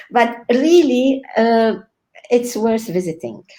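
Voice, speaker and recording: A woman born in Lebanon, speaking as a guest scholar in an online interview, enthusiastic, often pausing with "uh" and laughing. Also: fairly high pitch, expressive. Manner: warm, enthusiastic, frequent laughs and hesitations.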